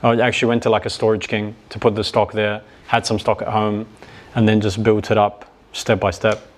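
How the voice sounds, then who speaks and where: A young man with a fairly low voice, talking in a relaxed, rambling way as a podcast guest. He is from New Zealand and drops plenty of "like" and "yeah" between his thoughts. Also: a little fast. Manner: laid-back, lots of "like" and "yeah". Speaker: guest, e-commerce business owner. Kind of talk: casual interview chat.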